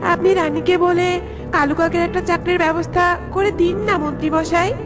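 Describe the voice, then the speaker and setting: Very high-pitched young girl bird. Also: steady tone, moderate pace. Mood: pleading. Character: sweet, earnest young girl bird, kind-hearted. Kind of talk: children's cartoon character dubbing. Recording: loud music behind the voice.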